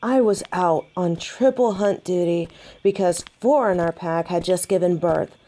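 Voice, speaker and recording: A woman's voice: fairly low pitch, measured pace, mellow, a little hiss or hum, close to an everyday voice. A woman playing a young, stubborn, hot-headed female werewolf in an audio roleplay drama. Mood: indignant.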